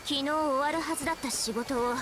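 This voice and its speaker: A woman's voice: high voice, rapid-fire, lightly acted. An actress voicing an exasperated, ladylike female video game character in a feminine, polite, complaining manner. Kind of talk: Japanese game voice acting. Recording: game audio under loud music.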